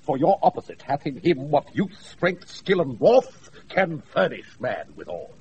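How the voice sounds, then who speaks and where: Scheming boozy British uncle-knight for classical radio play, bombastic and very animated in pitch; hissy wireless capture. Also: male voice, lo-fi sound. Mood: mock-menacing. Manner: blustering, grandiose, drawn-out mock-menacing delivery. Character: boisterous scheming old knight, mischievous drunken uncle. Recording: noisy off-air radio recording.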